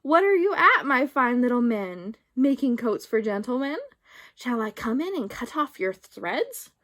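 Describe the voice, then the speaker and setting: A woman's voice: a little high, big pitch swings, measured pace, a bit bright. A woman voicing a hungry, mischievous storybook cat that mews and wheedles. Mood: wheedling.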